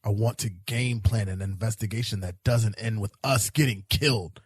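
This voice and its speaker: A man playing a world-weary, cynical police detective in a fan-made sci-fi noir audio drama, terse and deadpan. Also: fairly low pitch, moderate pace, slightly rough, no echo. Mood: weary, cautioning. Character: world-weary hard-boiled male police detective in space.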